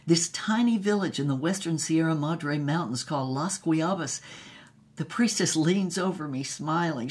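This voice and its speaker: An actress playing a woman adventurer who recounts her past in a theatrical, emphatic audio drama manner. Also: deep voice.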